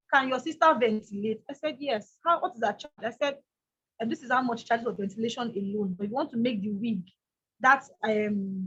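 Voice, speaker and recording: A young Nigerian woman speaks with a loud, strong voice that sits high in her range. She talks emphatically, hammering her points by saying them again. The recording is dull and lo-fi. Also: breathy edge. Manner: emphatic, repeats key lines. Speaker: motivational speaker. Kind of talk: motivational talk.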